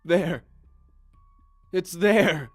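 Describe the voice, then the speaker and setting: A man's voice: on the high side, drawn-out words, dry recording, faint music behind, voice pushed up. A man voicing a panicked male prisoner trapped on the floor below, shrieking in terror. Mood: terrified.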